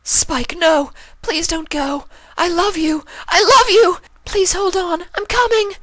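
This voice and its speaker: Devoted female unicorn for story reading, played by a man: raised pitch, breathy, heartfelt, dry close recording. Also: steady tone, takes it slowly, bright, forceful tone, no echo, full character voice. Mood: desperate. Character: elegant female unicorn, devoted and tender, often anguished.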